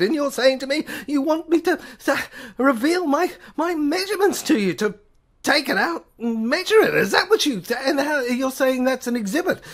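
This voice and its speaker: A man's voice: very high-pitched, a bit bright, pitched above the performer's own voice, full character voice. A man playing a frantic, indignant prisoner in an audio play, stammering and pleading melodramatically. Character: frantic, indignant male prisoner pilot on trial. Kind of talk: theatrical audio play monologue.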